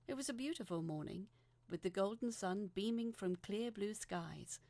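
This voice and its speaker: A woman reading a scripted dramatic story as narrator, measured, with phrases falling away into a hush. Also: medium pitch, no room sound. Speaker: storyteller reading a letter aloud.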